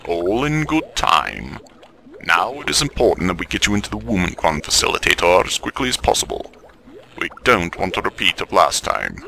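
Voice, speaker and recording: Mad doctor for horror radio spoof, male actor: genteel, sinister, pompous, low-pitched with animated swoops. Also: normal speed, vocal fry, a little hiss or hum, full character voice. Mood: smooth, evasive. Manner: pompous, formal, wordy. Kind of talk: parody horror radio drama.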